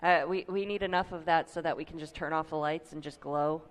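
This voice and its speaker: A woman's voice: low voice, steady tone, brisk. Laughing woman hosting a livestream chat. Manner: laughs often, upbeat. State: tired voice, a little deep. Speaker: host.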